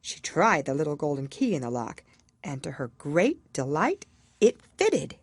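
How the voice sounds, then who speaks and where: A woman reading a storybook aloud as scripted narration, in an expressive, measured storytelling manner. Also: deep voice, slightly rough, no echo. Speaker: audiobook reader.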